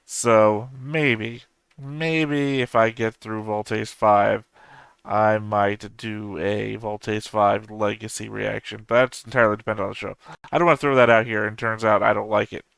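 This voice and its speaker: A man in his thirties with a low, gravelly yet smooth voice talks loosely and off the cuff, correcting himself as he goes. His delivery stays fairly flat, with dry humor running through it. The sound is close and dry, with no room around it. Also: unhurried, dry recording. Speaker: anime reaction channel host. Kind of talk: casual rambling commentary.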